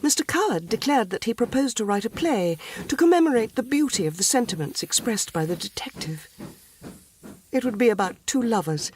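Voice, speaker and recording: A woman's voice: a little high, animated, a little fast, darkish tone, a little hiss or hum. A woman reading a short story aloud as dramatised literary narration.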